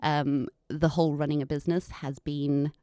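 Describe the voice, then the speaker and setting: Woman, thirties, anglicized South African accent, clear smooth voice, dry close recording, candid interview storytelling. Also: sits low, little pitch movement, normal speed, mellow, no room sound. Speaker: podcast guest, environmental consultant and business owner. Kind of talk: conversational interview, personal story.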